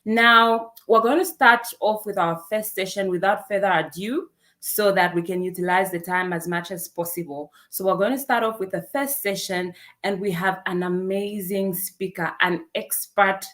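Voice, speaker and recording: Zambian lady hosting an online training session, enthusiastic, medium pitched voice, welcoming everyone. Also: fairly even intonation, normal speed, fairly bright tone. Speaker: event moderator and host. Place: online video meeting.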